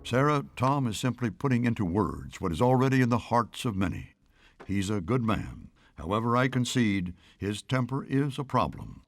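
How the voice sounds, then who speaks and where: A man voicing a kindly, wise elderly statesman in an animated series, genial and avuncular. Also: medium pitch, normal speed. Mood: reassuring. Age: older. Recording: music behind the voice.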